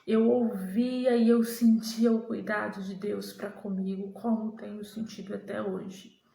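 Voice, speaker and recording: Slow, earnest young Brazilian-Portuguese-speaking woman. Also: a little high, vocal fry, dark tone, reverberant. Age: young adult. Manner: slow, earnest, halting with restarts. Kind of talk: personal religious testimony to camera.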